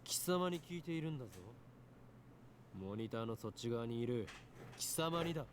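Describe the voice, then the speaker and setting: A grandiose young mad scientist, acted by a man in a visual novel. He talks very quickly in a theatrical, pompous and taunting way. The voice sits at a middling male pitch, with some noise and typing behind it. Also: lively intonation, natural voice. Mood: demanding. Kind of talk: Japanese visual novel voice acting.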